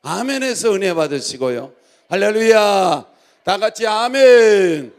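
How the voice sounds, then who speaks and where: Male pastor, high creaky voice, exhortatory and repetitive call-and-response sermon delivery. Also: expressive, unhurried. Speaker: pastor preaching to congregation.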